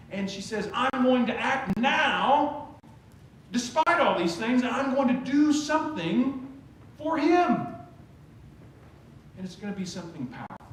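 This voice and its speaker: A pastor preaching a sermon in a conversational, storytelling way. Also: male voice, sits high, fairly bright tone. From Oklahoma. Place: church sanctuary. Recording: very echoey church sanctuary, faint hum.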